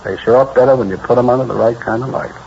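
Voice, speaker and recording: A man's voice: medium pitch, racing, gravelly, close to an everyday voice. An American man playing a police crime lab expert in a 1950s radio drama, explaining things patiently in plain, folksy talk. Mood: patient, instructive. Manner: folksy, explanatory, matter-of-fact. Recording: dull 1950s radio recording, faint noise.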